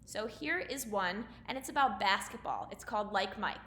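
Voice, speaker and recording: Bright-voiced woman teaching in echoey room. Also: mid-range voice, lively intonation, moderate pace, faint music behind. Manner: simple, instructive phrasing for children. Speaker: elementary school teacher. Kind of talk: explanatory lesson and storybook read-aloud. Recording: roomy echo, faint background noise.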